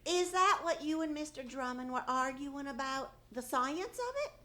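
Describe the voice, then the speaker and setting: A woman's voice: high-pitched, animated, normal speed, sharp tone. A woman playing a naive, nagging, good-humoured housewife and mother, questioning skeptically in 1940s-style radio comedy. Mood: puzzled, doubtful. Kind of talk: 1940s radio comedy acting, live on stage. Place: live theatre stage.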